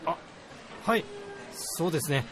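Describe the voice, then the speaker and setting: A man playing an eager, excitable researcher who is deferential to his boss, in a comedic radio drama. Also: on the high side, measured pace, music in the background. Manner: exclamatory, eager. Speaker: voice actor, also narrator.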